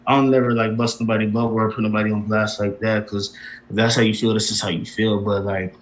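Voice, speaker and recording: Fast-talking streetwise rapper guy. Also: on the low side, flat delivery. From Arizona. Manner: streetwise slang, laid-back, repeats himself. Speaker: independent rap artist, guest. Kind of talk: casual interview chat.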